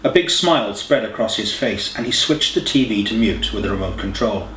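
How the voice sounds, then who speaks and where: A man's voice: fairly low pitch, expressive, fairly quick, fairly bright tone. A man reading a scripted story as an audiobook narrator. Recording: echoey room, distant mic, faint hiss.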